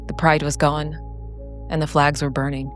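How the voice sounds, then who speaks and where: A woman narrating a scripted, dramatic solo role-playing story in a solemn, deliberate way with short phrases. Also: low-pitched, level tone. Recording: background music under the voice.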